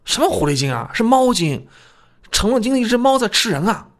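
A man voicing a mild male apprentice in an audiobook, speaking in a low-key way. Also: sing-song, a little fast. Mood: teasing. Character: young male apprentice, mild, secretly a ghost hunter.

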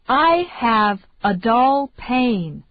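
A woman reading English phrases slowly and deliberately for learners to repeat. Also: on the high side, very animated. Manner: slow, deliberate, carefully enunciated. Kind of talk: slow repeat-after-me drill. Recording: dry, tinny phone-like sound.

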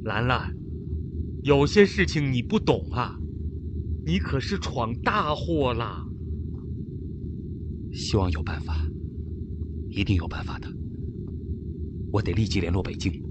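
An actor playing a tense, protective spaceship captain and uncle in a radio drama, commanding and earnest, with ambient noise behind him. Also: male voice, mid-range voice, unhurried, pauses often. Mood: worried, urgent. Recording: noisy cabin-like ambience. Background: steady ambient noise.

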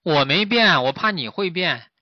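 A storyteller voicing a timid, earnest little pig in a children's story, high and loud. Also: animated, normal speed, no room sound, lo-fi sound, close to an everyday voice. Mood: fretful.

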